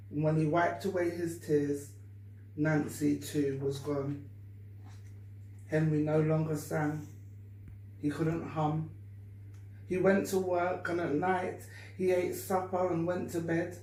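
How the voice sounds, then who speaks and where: A woman reading a story aloud to children with deliberate, expressive phrasing. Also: low voice. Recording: echoey room, distant mic, faint noise.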